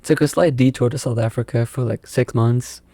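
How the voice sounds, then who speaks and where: Young male podcast guest from England, Afrikaans-raised, mellow and dry, rambles with fillers. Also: mid-range voice, steady tone, moderate pace, no room sound. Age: young adult. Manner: rambling, hesitant, many fillers. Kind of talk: casual podcast interview.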